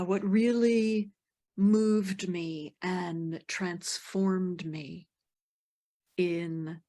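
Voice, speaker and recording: Gentle female workshop leader, unhurried and hushed, lower register. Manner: slow, gentle, drops to near whispers. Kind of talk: round-table presentation.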